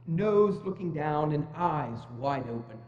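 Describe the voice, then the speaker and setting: Narrator, animated scripted delivery with a mellow tone, reverberant hall, faint hum. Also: mid-range voice, unhurried. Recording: muffled, echoey hall, hum.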